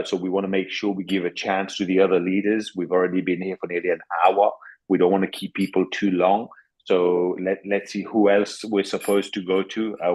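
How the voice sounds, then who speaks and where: Guy pitching on an online call, kinda low voice, really slow, short phrases. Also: a little mellow, husky, highs cut off. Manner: speaks in short phrases for an interpreter. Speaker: call speaker, business promoter. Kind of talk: motivational business talk on a group call. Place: online group video call.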